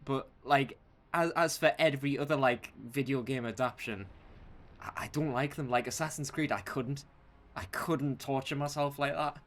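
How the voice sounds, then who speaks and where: A man's voice: medium pitch, moderate pace, fairly bright tone. A man co-hosting a podcast, giving emphatic opinions in casual chat and repeating himself to make a point. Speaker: podcast co-host. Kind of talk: casual film and game chat.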